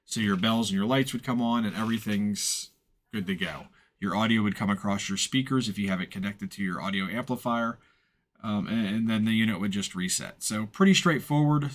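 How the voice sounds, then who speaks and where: A man with a fairly low voice walks through a screen tutorial step by step. He explains things informally and without a script, keeping a matter-of-fact tone as he instructs. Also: a little fast. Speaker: instructor, software tutorial presenter.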